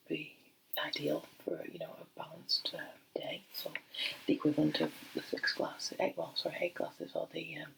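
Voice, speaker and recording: Soft-spoken, creaky, whispery ASMR woman. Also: on the low side, low-quality recording. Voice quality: breathy, often dropping into a whisper. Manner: gentle, unhurried, hesitant pauses. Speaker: ASMR performer role-playing a doctor. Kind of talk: soft-spoken ASMR medical role play. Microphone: close microphone.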